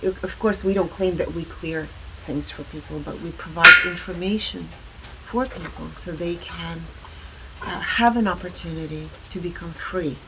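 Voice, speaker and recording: A woman speaking earnestly and deliberately as an interview guest, explaining her work with emphatic stresses. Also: fairly low pitch, heavy background noise. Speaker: guest, alternative wellness practitioner.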